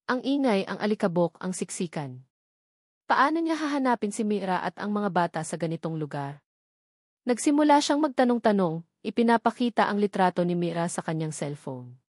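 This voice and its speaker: A woman's voice: mid-range voice, lively intonation, normal speed, a little mellow, no echo, clean studio sound. A woman reading a scripted dramatic story as an audiobook narrator.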